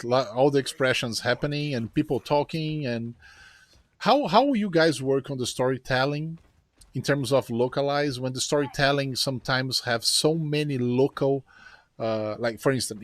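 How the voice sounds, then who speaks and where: Male host, mid pitch, excitable and rambling, casual gaming chat; dry recording. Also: moderate pace, no room sound.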